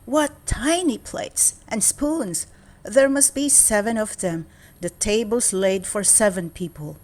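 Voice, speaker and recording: A woman's voice: medium pitch, slow, darkish tone, lightly acted. A woman reading the part of a sweet, polite young girl in a fairy tale. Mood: curious.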